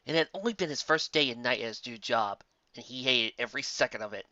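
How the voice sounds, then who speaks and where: A man's voice: mid-range voice, fast talker, sharp tone, no room sound, highs cut off. A man reading a fantasy story aloud as a dramatic, theatrical and emphatic narrator. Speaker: narrator of fan fiction audiobook.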